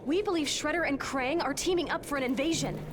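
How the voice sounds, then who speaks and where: A woman's voice: high-pitched, quick pace, background noise, lightly acted. An actress playing an alert, earnest young woman in a video game, speaking with urgency. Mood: urgent. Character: alert, earnest young female news-savvy ally.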